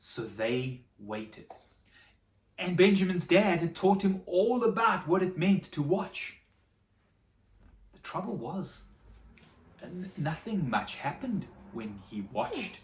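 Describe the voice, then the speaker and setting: A storyteller telling a Bible story to children, with dramatic pauses and repetition for emphasis. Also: medium pitch, big pitch swings. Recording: echoey room, distant microphone.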